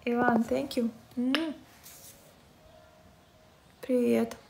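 Soft-spoken young woman with a Russian accent. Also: on the high side, fairly even intonation, very slow, gravelly, a little hiss or hum. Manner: soft, friendly, thanking viewers and reading chat. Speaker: livestreamer. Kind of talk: casual live-stream chat with viewers. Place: indoors, bedroom. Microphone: phone at arm's length.

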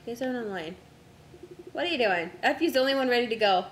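Young woman streamer, voice going hoarse, mutters to herself clicking thru menus, a bit high, faint hiss behind. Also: lively intonation, quick pace, reverberant. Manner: distracted, talks to herself while navigating menus. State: losing her voice, hoarse. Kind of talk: casual live-stream chat with friends.

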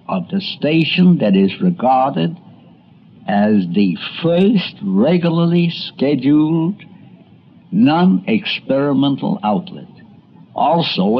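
Slow-paced American male documentary narrator. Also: medium pitch, a little hiss or hum. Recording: muffled, music underneath. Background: music.

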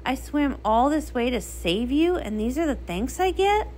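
A woman performs an imperious sea pony queen from a comic story. The voice is high for a woman and swings widely in pitch, with a haughty, commanding, accusing delivery. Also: sing-song, moderate pace, darkish tone, slight background noise.

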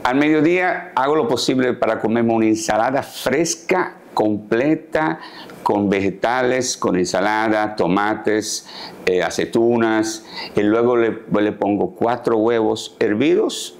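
A man in his sixties, a tailor, calmly explaining his daily routine in a sit-down interview, recorded on a lapel mic in a living room set. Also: lowish voice, measured pace, creaky. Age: 60s. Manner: calm, explanatory, gestures while talking. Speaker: guest, tailor. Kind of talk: casual interview talk.

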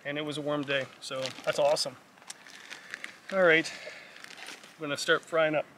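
A man's voice: on the high side, little pitch movement, moderate pace, bright, forceful tone, far from the mic, a little hiss or hum. A middle-aged man talking casually at an outdoor lakeside campsite, chatty and self-mocking, sometimes tripping over his words. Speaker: vlogger on a canoe trip. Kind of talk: casual camping vlog chat.

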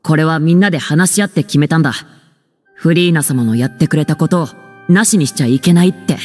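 A man's voice: a little high, fast, darkish tone, in a large hall, soft background music, lightly acted. A man playing an earnest, courteous young theatre troupe member in an anime video game. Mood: placating, earnest.

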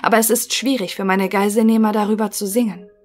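A woman playing a formal, melancholic thinking machine in an audio drama, answering in clipped, measured phrases. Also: mid-range voice, fairly even intonation, soft background music, lightly acted.

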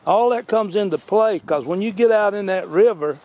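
A man's voice: high-pitched, moderate pace, mellow. An older man giving a rambling, folksy demo in a yard, correcting himself as he goes. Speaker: vlog host. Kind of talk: casual outdoor demo. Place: outdoors on a grassy yard.

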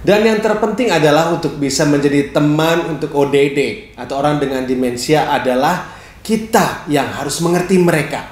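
Middle-aged male presenter, fairly high-pitched, expressive and clearly phrased tutorial delivery, recorded in a reverberant room. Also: moderate pace. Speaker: storyteller and caregiver giving a tutorial. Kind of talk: instructional presentation to camera. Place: small bright living room, indoors, seated on a sofa. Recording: echoey room.

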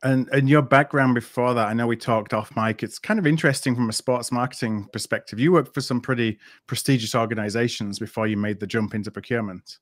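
A man's voice: medium pitch, steady tone, fast talker, few pauses, dry recording, studio quality. A man hosting a podcast, interviewing in a conversational style.

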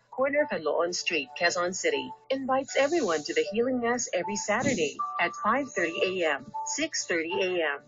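A woman's voice: lowish voice, fairly bright tone. A woman reading a script as a radio announcer, heard through a radio speaker. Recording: muffled radio speaker re-recorded on camera. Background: music.

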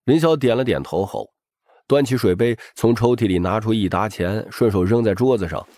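A man's voice: on the low side, moderate pace, mellow. A man narrating a novel as a dramatic, scripted audiobook.

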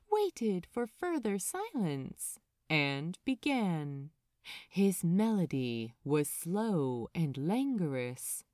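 A woman narrating scripted fan fiction as an audiobook reader, in a measured, expressive way with deliberate pauses. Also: mid-range voice, no echo, clean studio sound.

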